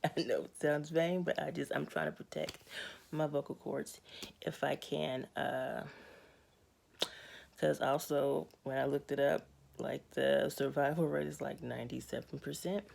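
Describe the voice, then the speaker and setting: Raspy, gravelly woman vlogger. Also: low voice, fairly even intonation, a little slow, a little mellow. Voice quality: raspy, hoarse. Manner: candid, matter-of-fact, laughs often. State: hoarse. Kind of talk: casual personal update.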